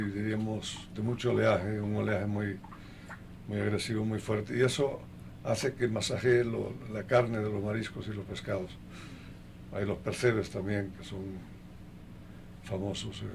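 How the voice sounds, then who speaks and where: An older man being interviewed in a conversational way, speaking haltingly and listing things one by one, with a gravelly voice. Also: lowish voice, background noise. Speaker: interview guest.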